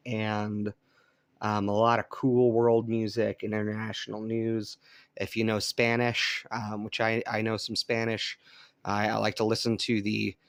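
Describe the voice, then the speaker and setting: A man from Colorado hosting a relaxed show-and-tell about his radio gear, easygoing and chatty with frequent ums, speaking indoors at home. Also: fairly low pitch, no room sound. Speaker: radio hobbyist channel host. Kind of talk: casual show-and-tell demonstration.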